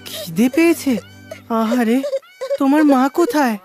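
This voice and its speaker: A woman voicing a poor, gentle young boy who thinks aloud and soothes softly, with music playing behind. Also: high-pitched, sing-song, normal speed. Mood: soothing. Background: background music.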